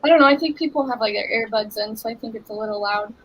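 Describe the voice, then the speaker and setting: A woman's voice: on the high side, fast talker, poor audio. A woman with a slightly raised voice chats casually on a trading livestream, filling her sentences with like. A faint hiss runs under her voice. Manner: casual, heavy use of "like". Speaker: livestream co-host.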